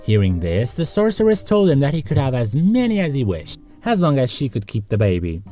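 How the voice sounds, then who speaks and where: A man's voice: mid-range voice, huge pitch swings, fairly quick, warm tone, soft background music. A man narrating a comedic scripted video as its host, sarcastic and conversational, with joking asides to the viewer.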